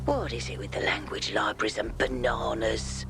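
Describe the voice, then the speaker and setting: A man's voice: lowish voice, moderate pace, creaky, music in the background. An actor playing a quirky, analytical male researcher in a video game, explaining things in a wry way. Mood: wry puzzlement.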